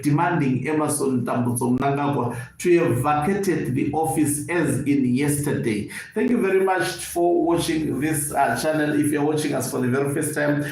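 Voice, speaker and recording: Echoey, higher-voiced Zimbabwean man commenting. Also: vocal fry, darkish tone. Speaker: online news channel host and political analyst. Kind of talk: live political commentary. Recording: very echoey room, faint background noise.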